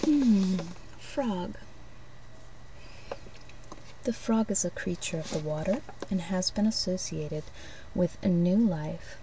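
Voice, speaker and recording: A woman doing an ASMR role play as a shopkeeper, speaking softly and gently, often breathy and near a whisper, in unhurried short phrases. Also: on the low side, animated, distant mic, a little hiss or hum, low-quality recording. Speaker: ASMR host playing a shopkeeper giving card readings.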